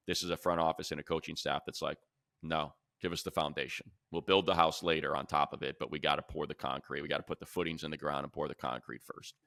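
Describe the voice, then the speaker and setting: A deep-voiced American man gives opinionated sports commentary. His voice is bright and forceful, recorded dry and close. He talks casually but emphatically, hammering a point by repeating it. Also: no echo. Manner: emphatic, repeats phrases for effect. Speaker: sports podcast co-host and commentator.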